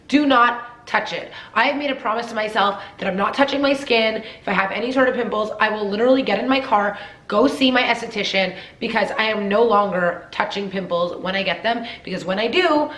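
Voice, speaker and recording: A woman's voice: on the high side, a bit bright, roomy sound, low-quality recording. A young woman vlogger giving casual, emphatic skincare advice, a little nasal and congested from a cold. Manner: chatty, emphatic, repeats for stress. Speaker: beauty vlogger.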